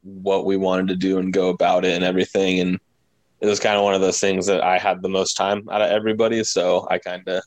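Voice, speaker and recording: Low-voiced young American man, dry close sound. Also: level tone, fast, no room sound. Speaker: podcast guest, podcast founder. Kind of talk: casual chat.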